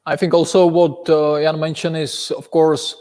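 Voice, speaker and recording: A man's voice: fairly high pitch, flat delivery, moderate pace, dark tone, big-room sound. A man with a Czech accent, a non-native English speaker, talking hesitantly on a phone in a casual live chat and often repeating words. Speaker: co-host.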